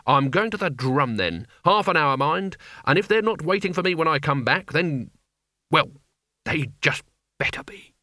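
Old male wizard, acted by a male reader, irascible, bossy, scornful, snapping delivery, bright edge, fantasy audiobook. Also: mid-range voice, animated, moderate pace, dry recording, studio quality. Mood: sharp, threatening. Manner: sharp, snapping, contemptuous.

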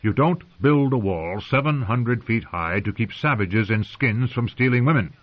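A man's voice: medium pitch, expressive, moderate pace, voice pushed up, lightly acted. A man voicing a stern, impatient old male commander who growls and scorns. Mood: stern lecturing. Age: older. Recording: muffled lo-fi recording.

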